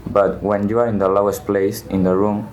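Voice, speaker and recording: A teenage boy from Spain talking in a student podcast, halting and correcting himself as he goes. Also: lowish voice, level tone, darkish tone, lo-fi sound, slight background noise. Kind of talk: podcast discussion.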